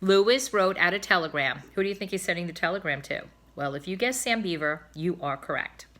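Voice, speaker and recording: A woman reading a children's storybook aloud in an expressive way, as a teacher would. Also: on the low side, fairly quick.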